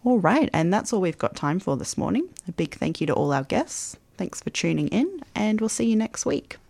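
A radio host wrapping up a morning show in a friendly, brisk way. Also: mid-range voice, sing-song, gravelly, a little mellow, no echo. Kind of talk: radio outro.

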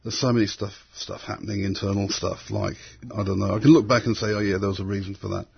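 Gravelly hesitant older man. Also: fairly low pitch, steady tone, fast talker. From England. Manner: hesitant, many restarts and fillers. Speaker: interviewee. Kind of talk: reflective conversational storytelling. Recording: dull lo-fi recording.

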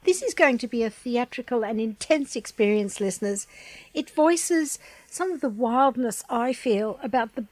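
Casting: female radio presenter, fairly high voice, scripted introduction, very dry close recording. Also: darkish tone, dry recording, low-quality recording.

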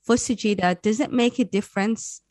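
A woman's voice: mid-range voice, normal speed, a little mellow, no echo. Woman interviewer in a dry-sounding recording. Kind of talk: conversational radio interview.

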